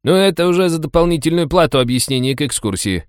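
Man voicing a chatty cabbie, pitched up. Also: expressive, quick pace, clear, resonant tone, dry recording, clean studio sound, lightly acted. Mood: wry. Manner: colloquial, wry, clowning. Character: chatty, sardonic male taxi driver, a streetwise local guide.

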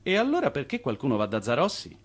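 A man's voice: medium pitch, huge pitch swings, fast talker. A man playing a brash, ambitious Italian immigrant hustler in a radio play, persuasive and insistent. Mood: eager, pushy.

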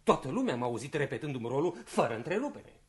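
An actor playing an agitated, indignant actor under suspicion in a radio play, speaking in a theatrical manner. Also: male voice, medium pitch, big pitch swings, close to an everyday voice. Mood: indignant, defiant.

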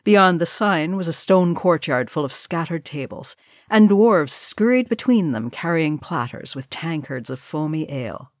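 A woman's voice: low voice, lively intonation, unhurried, dry recording, clean studio sound. A woman reading scripted fiction aloud as an audiobook narrator. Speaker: audiobook reader.

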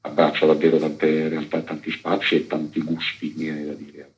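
A man's voice: extremely low pitch, completely flat delivery, moderate pace, rough recording. A man who works as an actor, speaking off the cuff in a radio interview with rambling, improvised phrasing. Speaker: actor and author, radio guest. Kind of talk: unscripted interview talk.

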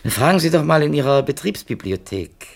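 An actor playing a well-meaning, talkative publisher's editor in a 1970s German radio play, speaking in wordy, tentative phrases. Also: male voice, on the high side, expressive, fairly quick, gravelly. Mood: earnest. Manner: wordy, tentative, self-qualifying.

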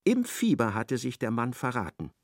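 A man narrating a literary radio play in measured, expressive storytelling. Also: medium pitch, dry recording, studio quality.

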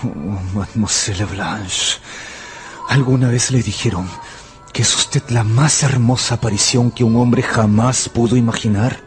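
A man's voice: mid-range voice, fairly even intonation, unhurried, soft background music, close to an everyday voice. An actor playing a passionate gambler, narrating his luck and obsessive love in a fervent, literary radio drama style. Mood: enamored.

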